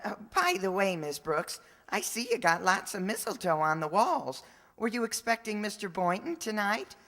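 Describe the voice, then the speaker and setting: An earnest high school boy , acted by a woman, gushes with naive sincerity in the manner of old-time radio comedy. The voice rings out in a reverberant hall. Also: lively intonation, moderate pace, fairly bright tone. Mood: awkward, eager.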